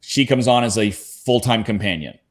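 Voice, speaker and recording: A man's voice: fairly low pitch, normal speed. A man from Canada speaking as a podcast panelist, telling personal anecdotes in a casual, conversational way.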